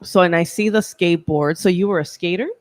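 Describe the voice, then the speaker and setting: A woman's voice: on the low side, fairly quick, no echo. A woman hosting a casual live chat, talking in a chatty way with lots of "like" and "right?". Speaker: host. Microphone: handheld mic, filming on phone.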